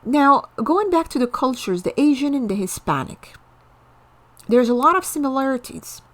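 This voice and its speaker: A woman's voice: high voice, lively intonation, normal speed, a bit bright. A woman from Morocco, hosting an informal lecture on culture, earnest and explanatory, often checking in with the listener. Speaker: host, cross-cultural trainer.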